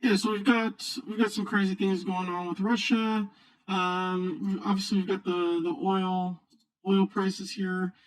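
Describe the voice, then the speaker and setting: A man speaking through a voice changer, giving casual, halting, unscripted screen-share commentary as a video host. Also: sits high, level tone, rough recording. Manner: halting, rambling, unscripted delivery. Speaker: video host, new finance YouTuber.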